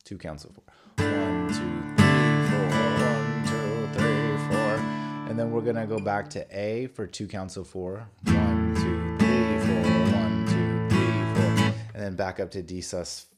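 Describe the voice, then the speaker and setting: A man giving a casual guitar lesson, informal and halting, thinking aloud and correcting himself, with a guitar being played. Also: fairly low pitch, steady tone. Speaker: guitar teacher. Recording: guitar playing loudly alongside the voice. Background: acoustic or electric guitar being played.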